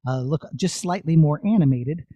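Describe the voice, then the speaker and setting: A man with a high voice presents puppets to a young audience. He is enthusiastic and explains each thing in simple, repetitive terms, and the sound is dry and close. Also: normal speed, dark tone, no echo. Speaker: puppeteer presenting a show to students. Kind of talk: children's show presentation and demonstration.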